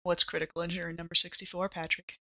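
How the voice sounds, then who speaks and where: A woman's voice: lowish voice, level tone, quick pace, pushed and bright. A woman playing a tabletop roleplaying game, chatting casually with wry, joking remarks. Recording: clearly noisy mic with clicks.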